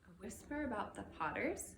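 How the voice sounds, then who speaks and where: A woman giving an expressive read-aloud narration of a children's novel. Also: mid-range voice, normal speed, creaky. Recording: roomy echo with faint background noise.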